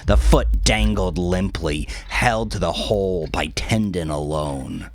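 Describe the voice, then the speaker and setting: A man's voice: low-pitched, takes it slowly, no echo. A man narrating a scripted story in a dramatic style.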